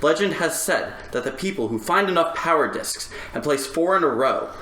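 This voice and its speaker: A man's voice: fairly high pitch, lively intonation, moderate pace, sharp tone. A man talking casually as a podcast panelist. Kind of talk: casual podcast chat and reading out. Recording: roomy, echoing group recording.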